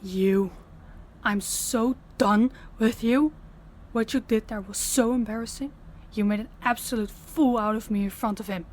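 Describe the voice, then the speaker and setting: A woman's voice: a little high, fairly even intonation, darkish tone, a little hiss or hum, natural voice. Woman acting as a commanding female mafia boss. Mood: cold, menacing. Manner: formal, deliberate, authoritative. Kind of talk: audio roleplay acting.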